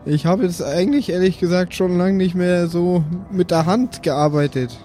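Hesitant, higher-voiced man over music. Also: normal speed, mellow. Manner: hesitant, colloquial. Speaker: player. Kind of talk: casual improvised tabletop play.

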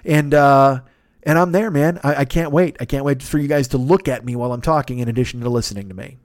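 Fast-talking man rambling through show plugs. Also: medium pitch, no room sound, clean studio sound. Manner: rambling, loose and profane. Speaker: podcast host.

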